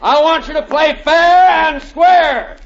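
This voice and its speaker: High-pitched, anxious football coach. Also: male voice, slow pace, raised voice, exaggerated voice. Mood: anxious pep talk. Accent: American. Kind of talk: vintage American comedy acting. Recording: muffled old broadcast with audience and hiss.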